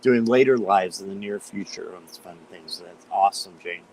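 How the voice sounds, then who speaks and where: Slightly hoarse man reading tarot live. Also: low-pitched, normal speed, a little mellow, a little hiss or hum, low-quality recording. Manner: chatty, greets viewers by name, many fillers. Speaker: tarot reader hosting a live stream. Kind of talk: casual live-stream chat.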